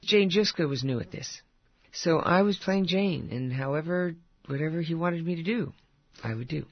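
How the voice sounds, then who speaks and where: An older woman with a husky, gravelly voice sits for an interview, telling stories in a chatty way and laughing often. Her voice sits fairly high, and the recording is dry and a bit dull. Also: moderate pace, no room sound. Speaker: actress guest. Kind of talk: casual interview chat.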